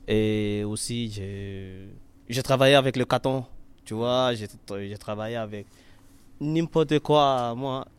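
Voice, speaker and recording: Slow, hesitant young Nigerian man. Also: medium pitch, clear, resonant tone. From western Nigeria. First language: Yoruba. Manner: hesitant, many fillers and restarts. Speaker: interviewee, artist and freestyle rapper. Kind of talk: casual interview in learner French.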